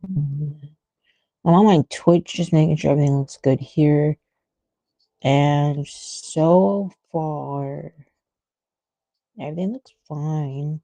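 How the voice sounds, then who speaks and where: Dark, creaky-voiced woman musing aloud. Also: low-pitched, takes it slowly, pauses often, no room sound. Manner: musing, trailing off mid-thought. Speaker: artist streamer thinking aloud while drawing. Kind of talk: casual livestream art commentary.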